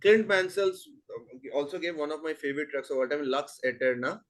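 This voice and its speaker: Indian English-accented male quizmaster, slightly high voice, reads questions steadily and chats between answers. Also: normal speed, a bit bright. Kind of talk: reading out quiz questions in an online quiz league.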